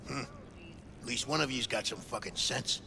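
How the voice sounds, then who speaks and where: An actor playing a surly, blunt kitchen worker in a video game, gruff and belligerent, with a very gravelly voice. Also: male voice, mid-range voice, moderate pace, noisy background, close to an everyday voice. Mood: surly, hostile. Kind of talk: video game dialogue acting.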